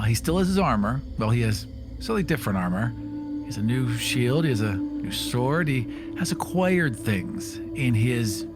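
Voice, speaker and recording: Man, tabletop player, casual, explanatory, music in background. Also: medium pitch. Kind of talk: tabletop game narration and chat.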